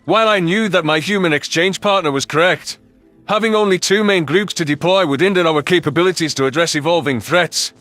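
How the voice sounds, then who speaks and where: High-pitched male story narrator. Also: a little fast, fairly bright tone, faint music behind. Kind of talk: scripted first-person story narration.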